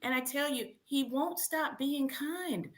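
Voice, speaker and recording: A woman's voice: high voice, unhurried. A woman hosting a children's read-aloud camp, presenting in simple words and prompting listeners with questions.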